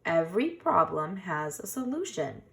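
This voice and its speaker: A woman voicing a kindly, reassuring male badger in a gentle way for a children's storybook. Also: lowish voice, sing-song, normal speed, vocal fry. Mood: calm, resolute. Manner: gentle, comforting. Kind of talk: children's storybook read-aloud.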